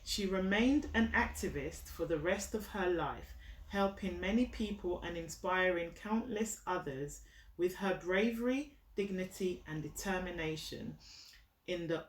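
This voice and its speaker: A woman's voice: lowish voice, measured pace. A woman reading a children's picture book aloud to her child, teacherly, explaining as she goes and checking understanding with questions. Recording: roomy echo, distant from the mic.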